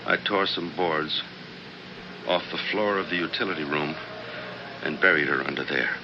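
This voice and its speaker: A man acting in a 1970s radio drama as a coldly calm, grimly resolved husband who has turned killer, speaking deliberately. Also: extremely low pitch. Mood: grim confession. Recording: noisy, muffled 1970s radio broadcast.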